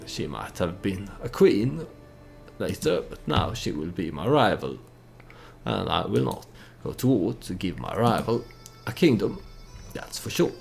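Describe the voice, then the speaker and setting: A man's voice: sits low, sing-song, measured pace, creaky, soft background music. A man who is not a native English speaker gives casual gameplay commentary with storytelling, in a hesitant, meandering manner. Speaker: let's play host.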